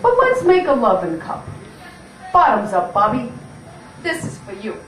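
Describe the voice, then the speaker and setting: A woman plays a hard-boiled lady gang boss in a puppet show. She is high-voiced and unhurried, bossy and full of brash gangster slang. The sound is roomy and set back from the microphone. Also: huge pitch swings. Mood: gloating menace. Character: tough-as-nails female gang boss puppet, bossy and menacing. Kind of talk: amateur puppet show acting. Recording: roomy, distant mic, faint noise.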